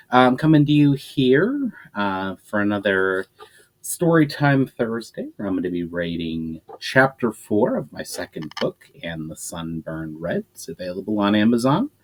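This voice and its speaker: A man reading a chapter of his own novel aloud, telling it in a lively way with small slips and self-corrections. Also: lowish voice, normal speed. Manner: lively storytelling with frequent self-corrections and fillers.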